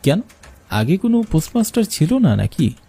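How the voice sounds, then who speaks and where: A man's voice: on the high side, big pitch swings, moderate pace, creaky, dark tone, slightly rough, exaggerated voice. A man playing an earnest postmaster, narrating his own ghost story expressively in a scripted cartoon. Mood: polite, curious. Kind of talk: first-person scripted cartoon horror narration. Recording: music behind the voice.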